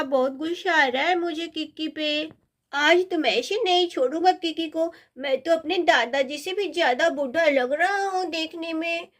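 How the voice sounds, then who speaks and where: Woman voicing an indignant high-pitched little boy. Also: a bit bright. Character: indignant young boy, sleepy whining brother. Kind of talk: children's cartoon story dialogue.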